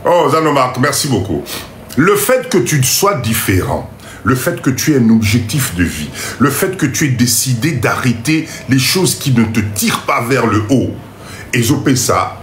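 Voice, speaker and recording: Congolese french guy w stuffy nose doing a motivational live, really animated n repeats himself, echoey room. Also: mid-range voice, measured pace. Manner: emphatic, repetitive, urging listeners. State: congested nose from a cold. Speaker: motivational live-stream host. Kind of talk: motivational live talk to viewers. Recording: roomy echo, faint background noise.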